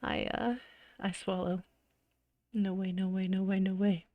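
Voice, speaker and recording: A woman reading a visual novel aloud as a let's-player, expressive and dramatic with reactive asides. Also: mid-range voice, a little slow, no room sound, muffled recording. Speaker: video game let's-player. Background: game music.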